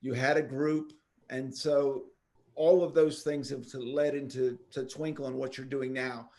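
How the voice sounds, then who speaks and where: A man's voice: medium pitch, level tone, a little slow, dark tone. A man hosting a podcast interview, eager and enthusiastic as he welcomes his guest. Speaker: podcast host.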